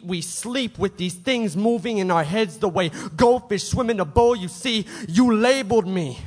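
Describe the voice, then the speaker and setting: A man performing confrontational spoken-word poetry with intense, dramatic swings between quiet and loud. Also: high-pitched. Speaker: slam poet.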